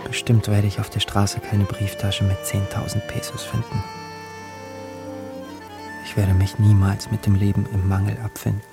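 A man's voice: on the low side, completely flat delivery, normal speed, creaky, music in the background. An actor playing a poor young man who narrates his own story in a radio drama, introspective and yearning. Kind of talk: literary first-person narration in radio drama.

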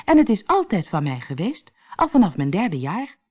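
Woman playing a cheeky young trickster lad, lively. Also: lowish voice, normal speed, slightly rough, pitched above the performer's own voice. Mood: earnest. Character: kind-hearted, cheeky young male trickster servant. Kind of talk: storybook character acting.

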